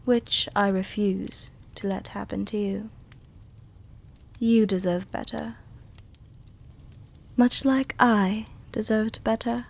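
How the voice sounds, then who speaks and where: A woman playing a seductive, protective vampire countess in an intimate ASMR roleplay, speaking tenderly and teasingly with a soothing, soft-dominant manner. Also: mid-range voice, fairly even intonation, slow pace, creaky, long pauses. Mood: bitter, protective. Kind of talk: intimate ASMR roleplay, scripted character acting.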